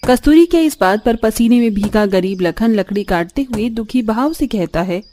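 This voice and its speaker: A woman's voice: fairly high pitch, animated, normal speed, mellow, a little hiss or hum. A woman giving dramatic scripted narration as the storyteller of a tale.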